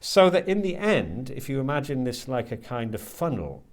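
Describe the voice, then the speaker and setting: A man's voice: medium pitch, sing-song. Hesitant, thoughtful man in interview. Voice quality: hesitant, halting delivery with repeated words. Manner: thoughtful, circling toward his point. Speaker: university creative writing lecturer being interviewed. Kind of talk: reflective interview talk.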